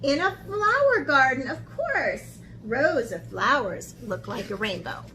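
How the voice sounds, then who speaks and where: A woman art teacher talking to young children in an expressive, encouraging way, as in a lesson or picture book read-aloud. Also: high-pitched, measured pace, noisy background. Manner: expressive, encouraging talk aimed at young children, with dramatic gasps.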